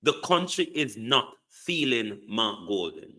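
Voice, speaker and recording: Guy ranting about politics, slow and deliberate, bright edgy tone, keeps hammering questions. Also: mid-range voice, very animated. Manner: emphatic, repeats the addressee's name, rhetorical questions. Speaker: online commentator. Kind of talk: political commentary monologue.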